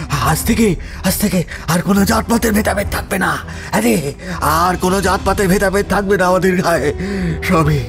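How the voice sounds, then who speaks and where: Frantic, stammering, guilty landlord. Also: male voice, high voice. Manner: stammering, frantic, repeating himself. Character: guilty, panicked male village landlord begging for mercy. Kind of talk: horror audio drama acting.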